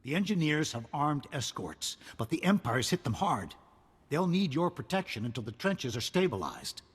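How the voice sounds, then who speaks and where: An actor playing a grim, determined general in a video game, commanding and terse, with a husky voice. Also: male voice, a little high, very animated, moderate pace, big-room sound, heavily acted. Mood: grave, urgent. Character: grim, determined male army general in wartime. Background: game music.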